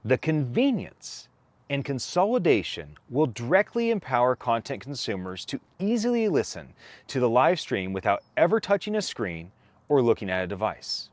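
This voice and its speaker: Man reading aloud with lively, swinging intonation. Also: medium pitch, normal speed, no echo. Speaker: co-author reading a whitepaper aloud. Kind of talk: read-aloud document narration.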